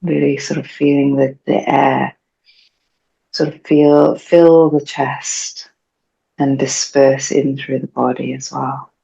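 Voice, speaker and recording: A woman leading a guided meditation in a gentle, hushed, soothing and unhurried way. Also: rumbling low, level tone, vocal fry, slightly rough. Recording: dull, muffled lo-fi recording.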